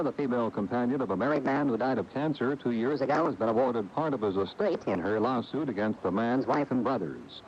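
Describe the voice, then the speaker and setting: A man's voice: mid-range voice, a little mellow, a little hiss or hum. An American man reading scripted news as a radio reporter. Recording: dull 1980 AM radio tape.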